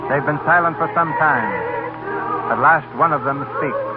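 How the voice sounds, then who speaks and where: 1930s radio narrator, man over loud music. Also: on the high side, normal speed, narrow, tinny audio. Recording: band-limited 1930s radio broadcast.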